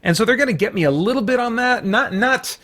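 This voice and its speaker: A man giving an unscripted movie review as a host, speaking emphatically in a rambling, halting way with repeated false starts. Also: very high voice, lively intonation, fairly bright tone. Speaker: podcast host and film reviewer.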